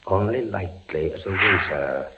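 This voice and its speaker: An actor playing a despairing, feverish condemned captain in an old-time radio horror drama, halting and melodramatic. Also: male voice, sits low, expressive. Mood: numb calm. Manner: halting, melodramatic, swinging from hushed dread to frenzy. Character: tormented male condemned prisoner, an army captain, despairing and feverish. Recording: muffled, loud-hiss old radio recording.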